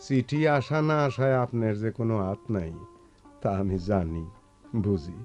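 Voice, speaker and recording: An actor playing an excitable, doting older brother from a village, blustering and rambling in a rural eastern Bengali accent in a radio play. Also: male voice, lowish voice, moderate pace, close to an everyday voice. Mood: subdued pleading. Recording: music behind, radio drama production.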